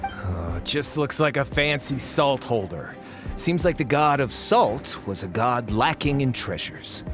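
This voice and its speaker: A man's voice: mid-range voice, animated, unhurried, close to an everyday voice. An actor voicing a greedy, scornful male treasure hunter who talks bluntly. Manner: blunt, scoffing. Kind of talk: video game voice acting. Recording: game audio with loud music.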